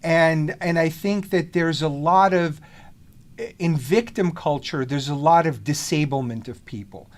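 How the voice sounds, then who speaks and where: A man's voice: on the high side, measured pace. A man hosting a podcast, born in the Soviet Union and speaking English as a second language, talking in a rambling way with many restarts and fillers. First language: not English. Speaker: podcast host. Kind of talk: casual conversation.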